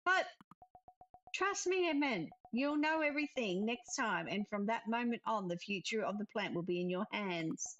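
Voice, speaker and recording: A woman's voice: mid-range voice, expressive, normal speed, fairly bright tone, no echo, lo-fi sound, lightly acted. A woman voicing a firm, knowing ghost of a wife in a visual novel.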